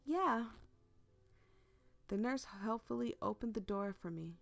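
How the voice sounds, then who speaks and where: A woman's voice: medium pitch, normal speed, creaky. A woman who is losing her voice to a cold, reading a visual novel aloud and chatting casually as she plays. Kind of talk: casual let's-play narration with read-aloud dialogue. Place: bedroom, propped up in bed.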